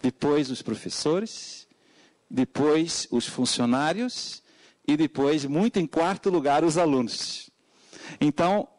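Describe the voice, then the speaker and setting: A middle-aged man from Portugal with a European Portuguese accent, an educator giving formal testimony at a public hearing, with frequent hesitant fillers and sudden emphatic rises. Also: a little mellow. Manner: many hesitant fillers, emphatic pitch jumps.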